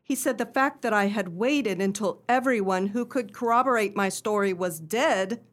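A woman's voice: mid-range voice, normal speed, lightly acted. A woman playing a wronged countess in a radio play, speaking with formal, earnest dignity.

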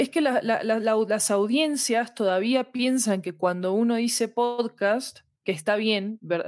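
A woman's voice: fairly high pitch, no echo, studio quality. A woman in a conversational discussion, speaking in an articulate, explanatory and emphatic way. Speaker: interview guest, fiction podcast specialist.

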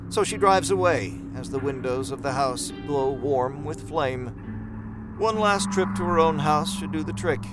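A man narrating a scripted horror story as the storyteller of an audio drama. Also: on the high side. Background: music under the voice.

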